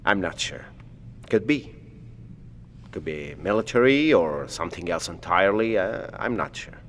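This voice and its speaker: An actor playing a nervous, wary scientist in an audio drama, speaking in hesitant, precise, educated phrases. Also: male voice, sits low, expressive, normal speed, fairly bright tone, slight background noise. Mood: anxious, subdued. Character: nervous blind male scientist, formal and wary, stranded alone. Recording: long echoey reverb of an empty hall.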